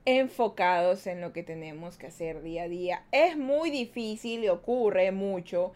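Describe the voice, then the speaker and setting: A woman's voice: medium pitch, animated, normal speed, darkish tone. Chatty, playful podcast host woman. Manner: playful, chatty, reads viewer comments aloud. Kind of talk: live-streamed casual podcast talk.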